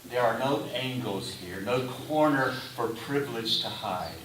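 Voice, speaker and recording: A man delivering a sermon in a deliberate manner, in short phrases with pauses. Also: medium pitch. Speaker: preacher. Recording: roomy echo, distant mic, faint hiss.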